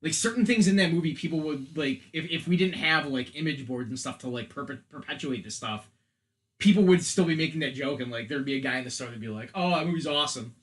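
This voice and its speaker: A man's voice: fairly high pitch, expressive, quick pace, a bit bright. A man hosting a casual film and game podcast, talking in a rambling, profane way full of joking comparisons. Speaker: podcast host. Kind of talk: casual chat about films and video games.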